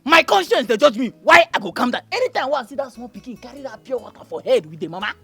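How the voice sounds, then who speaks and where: A man with a Nigerian Pidgin accent playing a guilt-ridden, volatile villager who rants and then coaxes gently. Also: extremely high pitch, big pitch swings, fast, exaggerated voice. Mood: agitated. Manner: gravelly, husky, swings between anger and coaxing. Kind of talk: Nollywood drama acting. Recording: film audio with faint music behind.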